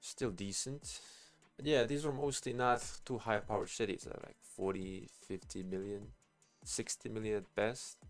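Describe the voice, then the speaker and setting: A man talks through a game on a live stream. His voice sits on the low side and has a clear creaky fry. He rambles informally, thinking aloud as he goes, with music playing behind him. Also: fairly even intonation, normal speed. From Bosnia and Herzegovina. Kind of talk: casual game stream commentary.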